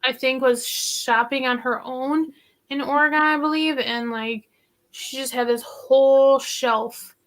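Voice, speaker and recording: A woman's voice: sits high, fairly even intonation, unhurried. A woman hosting a casual livestream chat about vintage finds, rambling with lots of filler words. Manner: rambling, heavy use of "like" fillers. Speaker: host of a vintage thrifting channel.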